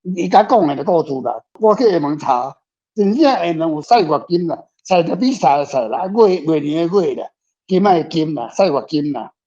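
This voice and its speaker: A man's voice: a little high, lively intonation, moderate pace, warm tone. A man with a Taiwanese accent answering casually as a guest author in a panel discussion, rambling and repeating his words. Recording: dull, lo-fi sound.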